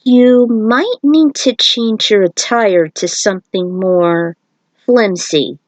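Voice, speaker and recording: A woman voicing an earnest male shaman warrior, a patient mentor who explains things in a measured, serious way. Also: medium pitch, no room sound, lo-fi sound, lightly acted. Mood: advising.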